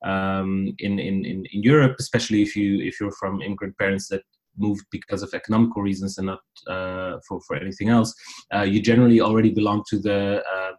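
A man in his thirties, raised in the Netherlands, talks as a guest over a remote call. His voice sits fairly low, and he speaks in a steady, thoughtful way with frequent "uh" fillers. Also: fairly quick. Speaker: podcast guest. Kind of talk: casual interview chat.

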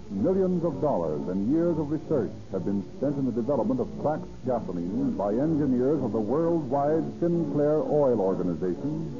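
Formal American radio announcer over music, old recording. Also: male voice, medium pitch, lo-fi sound. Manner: formal, emphatic salesman-like delivery. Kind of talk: 1930s scripted radio announcing.

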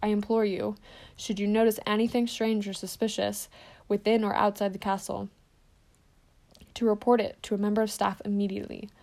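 Storybook role: woman reading calm old headmaster, formal, solemn, gravelly. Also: mid-range voice, moderate pace, natural voice.

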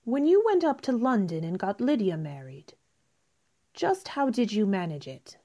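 A woman's voice: medium pitch, sing-song, normal speed, dark tone. A woman playing a spirited, self-possessed young lady in a radio play, speaking politely but firmly and with a stubborn streak. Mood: questioning. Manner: polite but firm, pointed. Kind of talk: radio theater acting.

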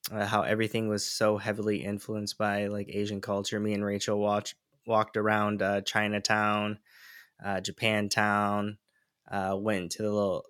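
Solo podcast host, young man, lowish monotone, easygoing and rambly, dry sound. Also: no echo. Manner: relaxed, rambling, many fillers. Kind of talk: casual solo podcast monologue.

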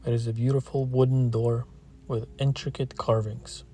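A man narrating a scripted guided meditation, calm and gentle, leaving long pauses between short phrases. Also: medium pitch.

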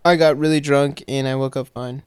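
A young man co-hosting a podcast in relaxed, teasing banter full of slang. His voice sits at a middle pitch and sounds dry and close to the mic. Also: brisk, smooth, no room sound. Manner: laid-back and teasing, loose slangy chatter. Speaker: podcast co-host.

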